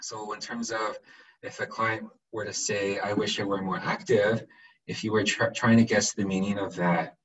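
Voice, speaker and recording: A soft-spoken man giving an online lecture, hesitant and often repeating his words, who checks in with "right?". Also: on the low side, little pitch movement, normal speed. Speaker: webinar presenter. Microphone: computer microphone on a video call. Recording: muffled video call audio.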